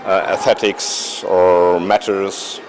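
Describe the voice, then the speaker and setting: A man interviewing an artist, asking halting questions and murmuring agreement in between. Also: low-pitched, flat delivery, music in the background, low-quality recording.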